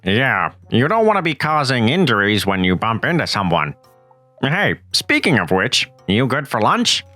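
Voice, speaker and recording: A man's voice: medium pitch, sing-song, normal speed, sharp tone, dry recording, faint music behind, voice pushed down. A man voicing a laid-back, slangy, know-it-all nerdy teenage boy, sounding a bit distant from the mic.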